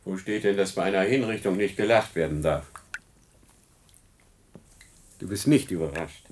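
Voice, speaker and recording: An actor playing a cynical, gloating murderous scientist with a mocking, taunting delivery in a German radio play. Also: male voice, low voice, normal speed, slight background noise, close to an everyday voice.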